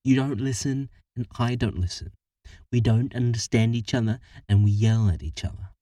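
A man voicing an exasperated woman who is fed up with her partner, with sharp, frustrated outbursts. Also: mid-range voice, moderate pace, dry recording, studio quality, distant mic, lightly acted.

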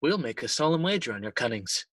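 Husky, scheming king. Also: mid-range voice, big pitch swings, fairly bright tone, no echo, clean studio sound. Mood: plotting. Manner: theatrical, persuasive, deliberate. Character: scheming, smooth-talking king plotting murder. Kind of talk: bilingual Shakespearean audio play acting.

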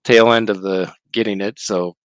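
A man with a low voice hosts a religious news roundup. He sounds slightly off from a cold and speaks in a measured, earnest manner. The recording is dry, with very little room sound. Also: steady tone, smooth, dry recording. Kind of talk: hosting a live-streamed religious news roundup.

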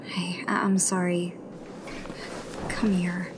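A woman's voice: fairly low pitch, level tone, takes it slowly. A woman playing a hard-headed, pragmatic rebel fighter in a sci-fi audio drama, with a firm way of talking. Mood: consoling. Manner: firm, argumentative, pressing with questions. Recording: clear music bed behind.

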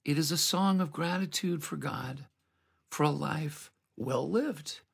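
A man hosting a devotional program, reading a scripted message in an earnest, reflective, unhurried way. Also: fairly high pitch, gravelly, slightly rough.

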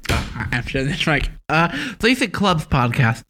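A man's voice: fairly high pitch, very animated, unhurried, pushed and bright, a little hiss or hum. A man co-hosting a school podcast, joking and bragging playfully. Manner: playful, boastful joker. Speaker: student podcast co-host. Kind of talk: casual chat.

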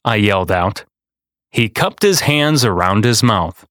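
A man reading a children's picture book aloud in a deliberate, dramatic storytelling style. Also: lowish voice, lively intonation, fairly bright tone, no room sound, studio quality. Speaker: storyteller and podcast host.

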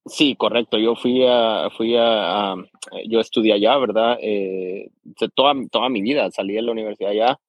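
A man's voice: lowish voice, steady tone, normal speed, dry recording, muffled recording. A man, a podcast guest speaking hesitantly and often tagging his sentences with a question. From Guatemala. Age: 30s. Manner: hesitant, keeps adding "¿verdad?". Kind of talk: casual interview.

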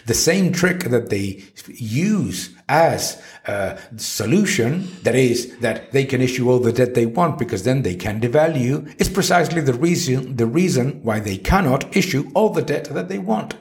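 A man's voice: mid-range voice, lively intonation, moderate pace, few pauses, a little mellow. A man reading an opinion article on economics aloud in an emphatic, argumentative manner. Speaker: article narrator.

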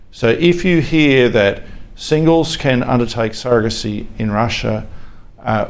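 An Australian man hosting a podcast as a lawyer, explaining informally in a halting, measured way with frequent pauses. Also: fairly low pitch, vocal fry. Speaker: podcast host, family and fertility lawyer. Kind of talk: informal explanatory monologue with anecdotes.

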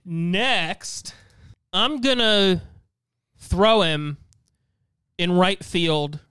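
A man with a high, bright and very clear voice, close and dry. He chats casually as a co-host, thinking aloud in halting runs with plenty of "like". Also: expressive, dry recording. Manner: halting, heavy use of "like". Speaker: podcast co-host. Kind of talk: casual chat.

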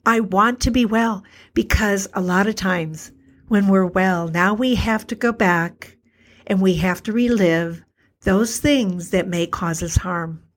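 A middle-aged woman's voice, a touch hoarse, in an earnest solo podcast talk. She speaks at a medium pitch and returns to the same phrases again and again. She slows down on key words to stress them. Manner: earnest, repetitive, slows for emphasis. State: a little hoarse. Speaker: podcast host, alternative health practitioner.

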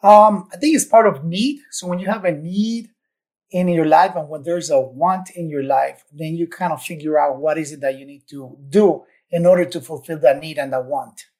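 A man's voice: fairly high pitch, normal speed, darkish tone. A man from Ecuador, a podcast guest, telling his story in an upbeat, enthusiastic way. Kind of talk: casual interview chat.

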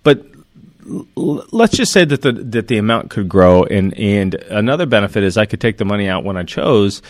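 A man's voice: lowish voice, lively intonation, moderate pace, no echo. Earnest man explaining finances, dry sound. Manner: earnest, emphatic, works through examples with many restarts. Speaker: financial commentator. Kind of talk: explanatory talk to camera.

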